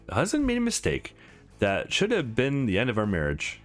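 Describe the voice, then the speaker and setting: A man's voice: medium pitch, huge pitch swings, moderate pace, soft background music. A man voicing a flirtatious, conflicted married woman in a visual novel, frank, teasing and confessional. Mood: frank, probing.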